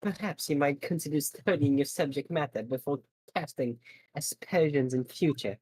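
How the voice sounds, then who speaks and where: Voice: medium pitch, moderate pace, a little mellow, husky, no echo, lightly acted. A streamer voicing a smug, evasive male witness in a fan dub of a game, speaking in a condescending way.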